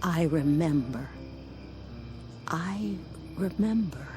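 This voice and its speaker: An actress in a radio drama playing a wistful, cautious old woman with a gentle, halting delivery. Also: deep voice, lively intonation, faint music behind. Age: older.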